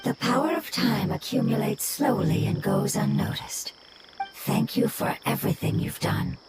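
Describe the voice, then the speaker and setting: A woman voicing a gentle, wise fungus creature in a game, breathy and whispery, with measured, formal phrasing. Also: fairly high pitch, sing-song, heavy background noise. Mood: calm, reassuring. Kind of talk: scripted game dialogue. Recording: loud music behind the voice.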